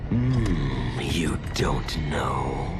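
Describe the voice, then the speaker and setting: An actor playing a gleeful, scheming villain who worships chaos in a video game, theatrical and gloating. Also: male voice, extremely low pitch, big pitch swings, drawn-out words, over loud music, full character voice. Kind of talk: video game cutscene acting.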